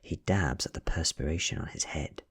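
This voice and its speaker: A British man reading a story aloud in a soft-spoken ASMR style, breathy and often close to a whisper, with slow, deliberate delivery. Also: rumbling low. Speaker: ASMR reader.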